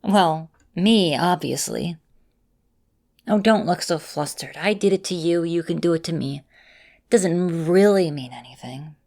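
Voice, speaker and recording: Girl doing a vampire mistress for asmr roleplay, kinda low voice, gentle, reassuring, bit teasing. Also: measured pace. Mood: flustered, teasing. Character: affectionate centuries-old female vampire mistress, encouraging mentor.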